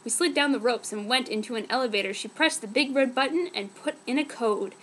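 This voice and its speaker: A woman's voice: a little high, brisk, a bit bright, slight background noise, low-quality recording. A woman giving a dramatic, mock-earnest reading of fan fiction as a narrator. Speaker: reader.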